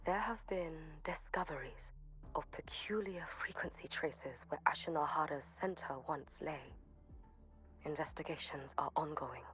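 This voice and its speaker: An actress voicing a solemn, composed young shrine maiden in a video game, with formal, measured, grave diction. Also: female voice, low voice, a bit bright. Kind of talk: scripted video game voice acting. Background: background music.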